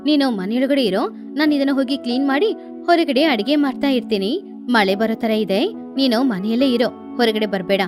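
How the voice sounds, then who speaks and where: A woman's voice: fairly high pitch, normal speed, close to an everyday voice. A woman voicing a devoted, protective mother sparrow in a children's bedtime story, earnest and motherly. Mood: reassuring. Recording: music behind the voice.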